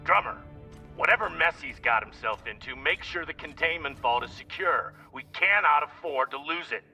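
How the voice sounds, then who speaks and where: A man playing a hard, impatient male spaceship captain in a video game, gruffly barking orders. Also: on the high side, expressive, moderate pace, sharp tone, recorded from a distance, soft background music, exaggerated voice. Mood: stern.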